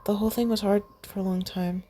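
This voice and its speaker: A woman voicing a kind, gentle young man in a mask who talks in a soft, reassuring way, in a storybook reading. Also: medium pitch, steady tone, quick pace, lightly acted. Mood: earnest.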